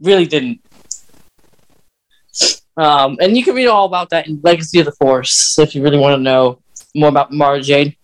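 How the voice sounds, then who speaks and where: A man co-hosting a podcast, explaining fan lore casually in a halting way with pauses mid-sentence. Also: a little high, rough recording. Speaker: podcast co-host.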